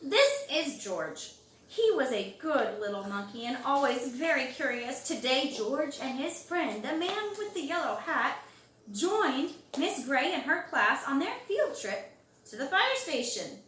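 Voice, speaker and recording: A woman reads a children's storybook aloud in an expressive way, drawing out words for young listeners. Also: sits high, a little hiss or hum. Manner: expressive, drawn-out words and loud acted exclamations for young listeners. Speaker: storybook reader. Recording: roomy home recording, distant mic.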